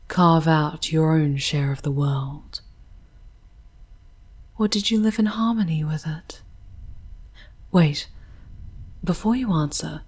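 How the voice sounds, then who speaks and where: A woman plays a charming demon woman guiding a mortal in an ASMR fantasy drama. Her voice is low and heavily breathy, often sinking toward a whisper. She speaks slowly, in tender, wistful, poetic phrases with formal diction. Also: darkish tone, exaggerated voice. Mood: wistful, probing, then gentle, coaxing. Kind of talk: ASMR roleplay, soft theatrical narration.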